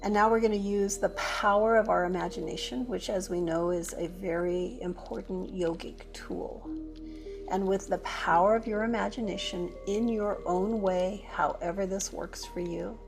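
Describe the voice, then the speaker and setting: A woman leading a guided meditation as a yoga teacher, speaking gently and unhurriedly with pauses between phrases. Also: mid-range voice, creaky.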